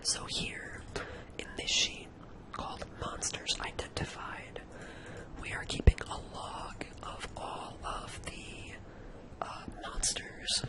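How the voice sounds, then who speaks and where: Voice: very high-pitched, poor audio. Whispering ASMR spreadsheet tutor. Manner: informal, thinks aloud while demonstrating.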